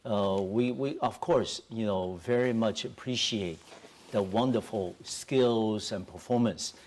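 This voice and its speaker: A man's voice: fairly low pitch, slow pace, darkish tone, slight background noise. A middle-aged man hosting a TV interview show in a studio, polite and leaning on "you know" fillers, on a lapel mic. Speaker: TV talk show host.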